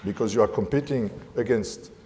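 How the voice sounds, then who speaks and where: A man with a French accent talks through a panel discussion, pausing often to find the English word. His voice sits low and mellow. It carries the long reverb of a conference hall. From France. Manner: halting, searching for English words.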